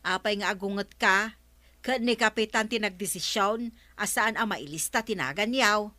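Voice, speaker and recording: A woman's voice: medium pitch, normal speed, pushed and bright, no room sound, lightly acted. A woman playing an evasive villager defending her captain in an Ilocano comedy radio drama. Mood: defensive.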